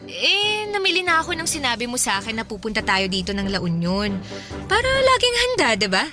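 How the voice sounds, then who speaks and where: A woman's voice: a little high, sing-song, fairly quick, over music. An actress playing a young, breezy, generous girlfriend in a Filipino radio drama, with a playful, coaxing, casual way of talking. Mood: playful, excited.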